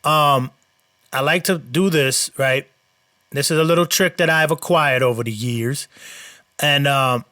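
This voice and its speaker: A man's voice: on the high side, no echo, studio quality. Nasal man explaining a mix. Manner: relaxed, rambling explanations with fillers. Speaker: mixing engineer and channel host. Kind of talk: casual screen-share tutorial.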